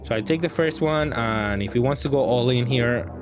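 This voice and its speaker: A man's voice: mid-range voice, normal speed, over music. A man giving casual gameplay commentary, thinking aloud in a hesitant, self-correcting way. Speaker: gaming content creator commentating matches.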